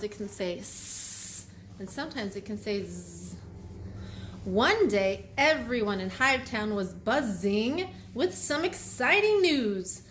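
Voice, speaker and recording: Woman children's read-aloud host, unhurried and very expressive, addressing kids directly. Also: fairly high pitch, slight background noise. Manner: addresses young children directly with questions and commentary. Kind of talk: picture book read aloud with phonics asides.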